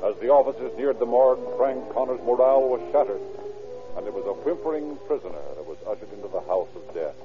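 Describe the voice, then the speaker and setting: A man's voice: medium pitch, quick pace. An American man narrating an old-time radio crime drama in a formal, dramatic scripted style. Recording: tinny old radio recording. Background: dramatic music.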